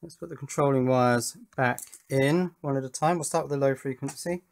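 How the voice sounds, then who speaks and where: A man's voice: medium pitch, fairly quick, gravelly. A man giving a casual narration of hands-on electronics work, thinking aloud and putting questions to himself and his viewers. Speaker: electronics hobbyist presenter.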